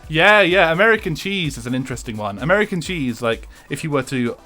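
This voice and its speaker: A man's voice: on the high side, expressive, fairly quick, bright, forceful tone, faint music behind. A young English man chatting casually with his viewers while streaming a racing game, swearing freely and laughing often.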